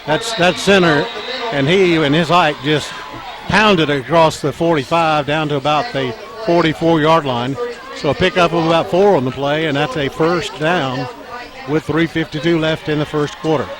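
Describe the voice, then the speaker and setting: A man with a scratchy, strained voice calling a high school football game play by play, halting with fillers and lifting when a run breaks loose. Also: fairly even intonation. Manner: halting, frequent fillers, rises when plays break open. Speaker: play-by-play announcer. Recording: crowd and music under the voice. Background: crowd and band music.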